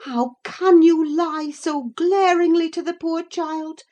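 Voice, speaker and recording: A woman's voice: fairly low pitch, a little slow, a little mellow, lightly acted. A woman voicing a sensible, protective, plain-spoken servant woman in an audiobook, firm and admonishing. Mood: indignant. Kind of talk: audiobook character voice.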